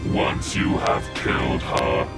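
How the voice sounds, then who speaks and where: A man's voice: very deep voice, monotone, slow, loud music behind the voice. A man playing a cold, commanding cyborg soldier leader in a sci-fi audio drama, with stilted, terse speech and a robotic filter.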